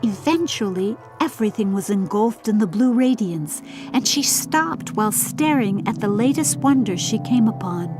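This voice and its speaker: A woman narrating a scripted fantasy audiobook in a formal, theatrical, measured storybook manner. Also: medium pitch, lively intonation. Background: faint music.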